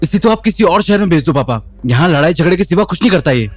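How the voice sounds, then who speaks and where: A stern elder son, put on by the narrator, talking fast in a husky, fairly high voice with a lively lilt. The sound is dry and close, with faint music underneath. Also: male voice, no echo. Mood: insistent.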